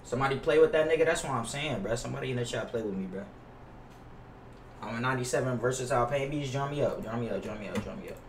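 A young American man talks to his stream chat in a slangy, griping, swear-heavy way. His medium-pitched voice comes through a roomy, slightly distant mic with faint noise behind it. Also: fast talker. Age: young adult. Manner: slangy, profane, complaining. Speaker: livestreamer playing a basketball video game. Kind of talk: casual livestream chat with viewers. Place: indoors at a gaming setup.

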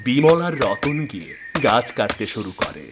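A man's voice: medium pitch, sing-song, moderate pace, noisy background. A man narrating a scripted fairy tale as a storyteller.

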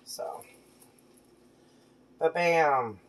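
A woman's voice: low voice, extremely slow, noisy background. A woman in her thirties chatting casually in a home kitchen as she cooks with her daughter, praising her often, recorded on a phone camera. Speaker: mom cooking with her daughter. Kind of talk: casual cooking vlog chat. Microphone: phone camera on the counter. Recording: clear clatter of dishes and pans.

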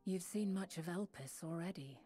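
An actress plays a noble young fantasy game sage, calm and resolute. Her low voice moves in measured, formal, old-fashioned phrases, with music clearly playing behind it. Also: natural voice. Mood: thoughtful, assured. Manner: measured, formal, archaic diction. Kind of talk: dramatic video game acting.

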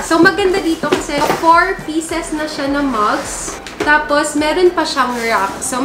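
A woman's voice: high-pitched, echoey room, noisy background. A young woman from the Philippines chatting through an unboxing vlog at home, mixing Tagalog and English. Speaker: vlogger. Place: living room at home.

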